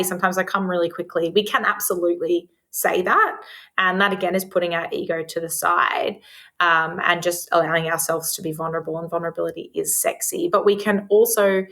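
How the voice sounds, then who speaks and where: Woman guest, sex therapist; loud voice, slightly low pitch, frank and chatty. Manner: frank, open about sex, filler-heavy "like". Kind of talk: casual podcast conversation.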